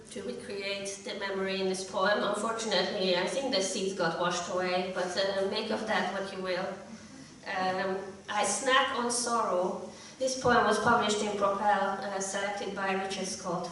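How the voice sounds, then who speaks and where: A middle-aged woman with a slightly croaky voice, reading her poetry in a measured, deliberate way at a live event. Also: mid-range voice, fairly bright tone, slight background noise. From Hungary. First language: Hungarian. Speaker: poet. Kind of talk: live poetry reading with short spoken introductions. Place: indoor live event room. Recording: echoey room, distant mic.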